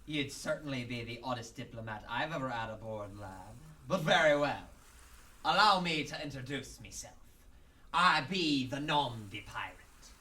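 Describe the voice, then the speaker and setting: An actor voicing a gleeful, sly pirate crime lord with a stage pirate brogue and theatrical archaic speech, in a radio drama. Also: male voice, mid-range voice, expressive, moderate pace, pushed and bright, recorded from a distance. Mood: affable, mocking. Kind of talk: amateur radio drama acting.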